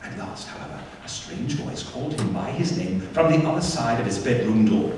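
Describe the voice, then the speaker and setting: A man playing the narrator of a Victorian ghost tale in a radio play, expressive and deliberate in his storytelling. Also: mid-range voice, lightly acted. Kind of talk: scripted theatrical narration. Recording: distant echoey hall, faint noise.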